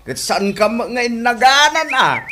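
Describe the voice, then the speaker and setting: A woman's voice: a little high, very animated, measured pace, slight background noise, heavily acted. A woman acting in an Ilocano radio comedy drama as a brash, gloating, theatrical woman with an Ilocano accent. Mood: defiant. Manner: loud, taunting, theatrical. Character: brash gloating woman mocking losers on social media. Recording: music behind the voice.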